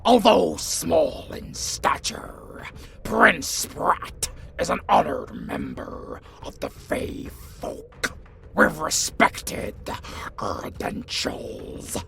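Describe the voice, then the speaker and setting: Creature role: solemn fey being, growling and breathy, hugely swooping intonation, noisy ambience, fantasy audio drama. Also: mid-range voice, huge pitch swings, extremely slow, exaggerated voice. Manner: formal, dignified. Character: dignified, formal fey folk creature.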